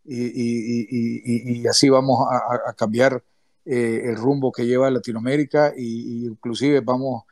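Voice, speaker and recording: Halting man, dry close sound. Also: mid-range voice, flat delivery, darkish tone, no echo. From Nicaragua. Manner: halting, full of restarts and hesitations. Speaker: activist speaker, former political prisoner. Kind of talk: closing remarks in an online audio chat room. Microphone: remote audio call.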